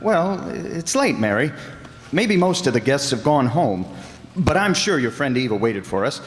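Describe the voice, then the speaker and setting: A man's voice: medium pitch, very animated, measured pace. A man playing a wry, confident amateur detective in an old-time radio drama. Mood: focused, assured. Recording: noisy echoing hall.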